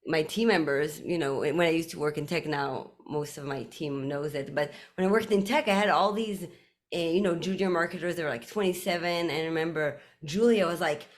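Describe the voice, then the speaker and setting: Animated woman guest storyteller. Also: sits low, normal speed. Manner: animated storyteller, many "like" fillers. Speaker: podcast guest, community CEO. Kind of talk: casual conversational interview.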